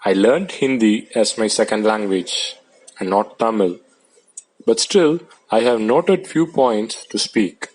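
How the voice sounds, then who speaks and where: A man, reading out translated debate speeches in a plain, measured way. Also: fairly low pitch, animated, a little mellow, husky, poor audio.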